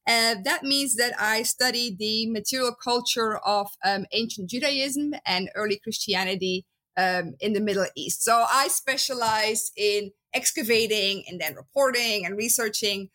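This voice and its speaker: Bright-voiced woman with a Dutch accent. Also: fairly high pitch, measured pace. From Belgium. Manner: chatty, many ums, laughs easily. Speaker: guest archaeologist. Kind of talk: casual interview chat.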